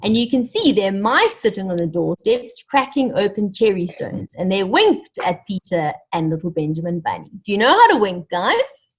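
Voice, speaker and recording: A woman reading a story aloud to children, animated and encouraging, pausing to ask them questions directly. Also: mid-range voice, slightly rough, poor audio. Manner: animated, encouraging, addresses young listeners directly.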